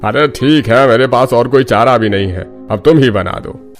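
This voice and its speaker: A man's voice: mid-range voice, moderate pace, faint music behind, close to an everyday voice. A man playing a harried but good-hearted biryani cart owner in a cartoon. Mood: resigned.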